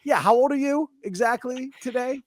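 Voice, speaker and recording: A man's voice: extremely high pitch, normal speed, no room sound, studio quality. A man in his fifties with an American accent, hosting a casual sports radio interview in a conversational way. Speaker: sports talk radio host.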